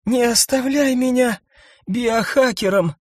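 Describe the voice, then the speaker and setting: A man's voice: very high-pitched, slow pace, no room sound, pitched above the performer's own voice, exaggerated voice. A man voicing a wounded, desperate woman. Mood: pleading. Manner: sobbing, pleading. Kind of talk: audiobook character voice.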